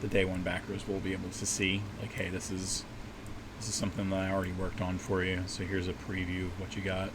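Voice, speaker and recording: Low, slightly scratchy man streaming from home. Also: brisk. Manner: rambling, relaxed, many fillers and restarts. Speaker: livestream host and map maker. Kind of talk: casual livestream chat while working. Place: small home desk setup. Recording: steady air-conditioner hum behind voice. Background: air-conditioning hum.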